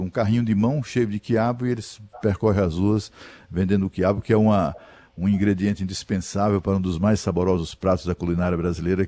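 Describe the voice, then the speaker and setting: A man's voice: low voice, no room sound. A middle-aged man hosting a radio news show, asking questions hesitantly with many fillers on a studio microphone. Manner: hesitant, many fillers and restarts, animated when retelling.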